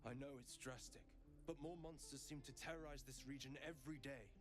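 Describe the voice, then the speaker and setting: An actor playing a courteous, resigned druid in a fantasy video game, speaking in a polite, measured, pious manner. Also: male voice, medium pitch, fairly even intonation, natural voice. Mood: courteous, flustered. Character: courteous, resigned male druid of a secluded grove. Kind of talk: dramatic video game dialogue. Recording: loud music behind the voice.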